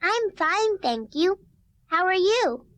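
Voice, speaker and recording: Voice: extremely high pitch, big pitch swings, slow pace, a bit bright, dry recording, exaggerated voice. A performer voicing a sweet, trusting, obedient little girl in a children's musical story, with a cute, earnest manner. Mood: trusting, cheerful.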